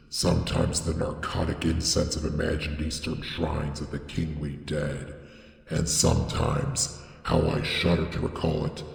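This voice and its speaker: A man reading gothic horror as dramatic scripted narration, with solemn, deliberate, theatrical phrasing and a gravelly voice. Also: a little mellow. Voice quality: very deep, gravelly. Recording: echoey with long reverb.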